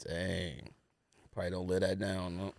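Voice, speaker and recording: An American man co-hosting a podcast in casual banter, joking and arguing in an animated way. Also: low-pitched, moderate pace, creaky, no echo, clean studio sound. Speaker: sneaker podcast co-host.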